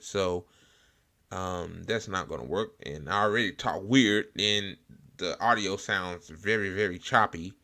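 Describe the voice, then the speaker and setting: American guy doing casual political talk, deep but bright voice, kinda halting and blunt. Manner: informal, hesitant, halting delivery with blunt slang. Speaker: host of a political commentary show. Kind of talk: casual unscripted political commentary.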